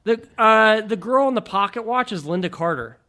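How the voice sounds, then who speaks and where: A man's voice: high-pitched, expressive, normal speed, bright, forceful tone. A man co-hosting a film podcast, giving casual, joking commentary on movies.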